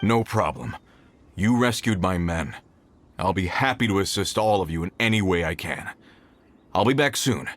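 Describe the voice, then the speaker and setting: A man's voice: low-pitched, expressive, normal speed, slight background noise. An actor playing an earnest, middle-aged mining foreman who sounds friendly and eager to help. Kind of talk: video game voice acting.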